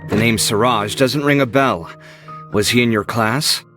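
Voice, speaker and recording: A man's voice: medium pitch, measured pace, pushed and bright. An actor playing a proud, theatrical young man in an anime game, easily riled and given to indignant bickering. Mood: haughty. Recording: music behind the voice.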